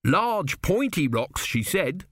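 Casting: male reader as keen young storybook builder son, stumbling, proud, pitch lifted and lively. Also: takes it slowly, no room sound, studio quality, exaggerated voice. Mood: triumphant. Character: eager builder son, stammering, proudly explaining.

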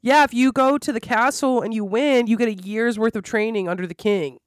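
A woman's voice: fairly high pitch, brisk, no echo, studio quality. A woman podcast co-host in a casual, slangy chat, retelling things in a conversational way. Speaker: podcast co-host recapping an anime.

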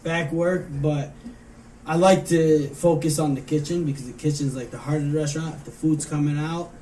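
Man, thirties, American accent, casual interview guest, higher-than-average pitch, faint background noise. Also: fairly even intonation, normal speed, dark tone, distant mic, poor audio. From Michigan, United States. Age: 30s. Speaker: interview guest, restaurant manager. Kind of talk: casual conversational answers.

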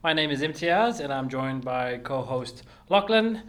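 A man hosting a podcast, upbeat and chatty, with quick laughing asides. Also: mid-range voice, lively intonation, a bit bright. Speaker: podcast host. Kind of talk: podcast interview.

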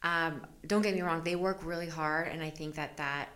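A woman's voice: low-pitched, fairly even intonation, normal speed, fairly bright tone. A woman from El Salvador, a business owner, talking candidly in an interview. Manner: candid, chatty, laughs often. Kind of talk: casual interview.

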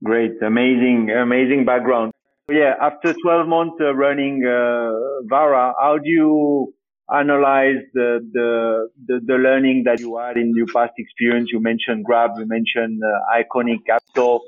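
A man's voice: mid-range voice, fairly even intonation, unhurried. A man hosting a founders podcast, asking questions hesitantly with many fillers and restarts. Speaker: podcast host, venture capitalist. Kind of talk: interview hosting. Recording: tinny phone-like line.

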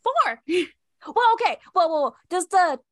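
A young woman co-hosting a casual podcast, animated and giggly, her voice stuffy from a cold. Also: extremely high pitch, measured pace, dry recording, studio quality. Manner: giggly and animated, bursts into silly singing. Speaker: podcast co-host. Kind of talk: casual chat.

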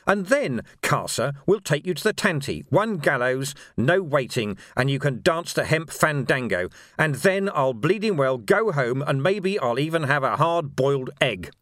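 Man acting a gruff, impassioned policeman, pitch raised. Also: big pitch swings, normal speed, few pauses, a bit bright, no room sound, clean studio sound. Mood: grim triumph. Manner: emphatic, colloquial, working-class phrasing. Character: gruff, righteous middle-aged policeman, furious but lawful. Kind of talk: dramatic audiobook character dialogue.

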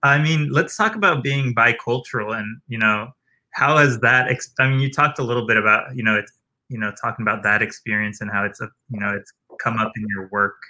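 A man hosting a laid-back interview, his voice bright and a little sharp. He keeps things relaxed, nudging his guest along with short murmured replies and easy self-deprecating jokes. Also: mid-range voice, fairly quick, rough recording. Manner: easygoing, backchannels with "mm-hmm", light laughs. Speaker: interviewer, host. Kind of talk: casual conversational interview.

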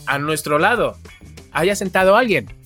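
A podcast co-host speaks in a medium-pitched voice. The talk is casual and wry, full of joking asides. Faint music sits under the voice. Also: expressive, moderate pace, fairly bright tone, breathy edge. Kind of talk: casual chat. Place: bedroom, remote recording.

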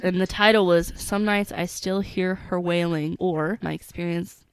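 Woman, podcast co-host, reads a scary story aloud, jokey asides, smooth clear voice. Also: fairly low pitch, fairly even intonation, moderate pace, no echo, poor audio. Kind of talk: casual chat and story reading.

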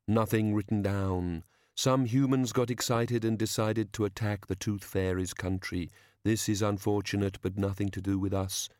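A man voicing a faceless, genderless celestial bureaucrat in an audiobook, cautious, pedantic and officious, with deliberate delivery. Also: lowish voice, steady tone, a little mellow, dry recording, studio quality, close to an everyday voice.

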